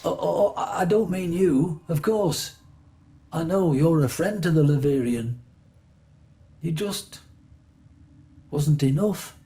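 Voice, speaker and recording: A man playing a wistful, regretful museum curator in a video game, plaintive and gently theatrical. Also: fairly high pitch. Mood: apologetic. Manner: plaintive, dramatic, drawn-out phrasing. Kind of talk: scripted video game character monologue.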